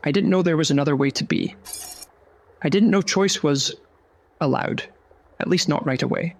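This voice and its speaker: A man voicing a young, earnest magical creature in a fantasy audio drama, halting and full of wonder. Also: fairly high pitch, gravelly, slight background noise. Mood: subdued, obedient.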